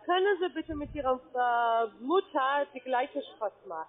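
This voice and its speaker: High-voiced woman scammer on a tinny phone line. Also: lively intonation, unhurried, a crowd in the background. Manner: broken German, blunt and rude. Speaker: scam caller. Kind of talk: phone call.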